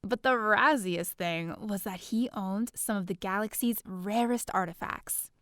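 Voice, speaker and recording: A woman's voice: mid-range voice, measured pace, fairly bright tone, no room sound, studio quality, close to an everyday voice. A woman playing a chatty, bookish young heroine in a space-opera audio drama, theatrical and sardonic, full of casual asides. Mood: gushing. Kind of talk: first-person scripted audio drama narration.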